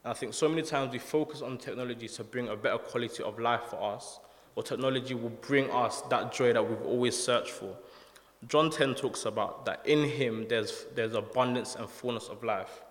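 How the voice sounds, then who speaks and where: Young guy preaching softly, lots of ums, middle pitch, echoey church hall. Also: moderate pace. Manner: soft-spoken, conversational with frequent ums. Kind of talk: sermon. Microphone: handheld or stand microphone. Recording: roomy hall reverb.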